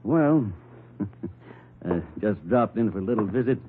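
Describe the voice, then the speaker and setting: A man's voice: lowish voice, lively intonation, unhurried, slight background noise. An actor playing a sour American ex-convict in a radio crime drama, insinuating and falsely friendly over his resentment. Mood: veiled bitterness. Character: sour ex-convict, smooth on top, bitter underneath. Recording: muffled old radio broadcast.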